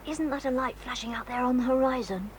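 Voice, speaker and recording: A woman voicing a brave, sensible teenage boy in an audio drama, talking in an urgent hushed whisper. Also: high voice, fast, a little hiss or hum, natural voice. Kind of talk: 1980s radio-style audio drama.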